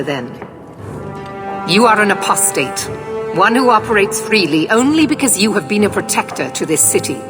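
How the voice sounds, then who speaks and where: An actress playing a stern templar knight commander in a video game, speaking in a formal, measured, authoritative way. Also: female voice, medium pitch, lightly acted.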